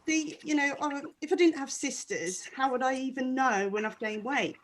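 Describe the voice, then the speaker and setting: A woman's voice: high-pitched, normal speed, crowd noise. A middle-aged woman doing a stand-up set with self-deprecating, wry delivery. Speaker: stand-up comedian.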